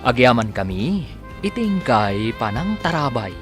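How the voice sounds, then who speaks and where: A man's voice: medium pitch, big pitch swings, measured pace. A man announcing and narrating a radio drama in a dramatic scripted style. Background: music bed.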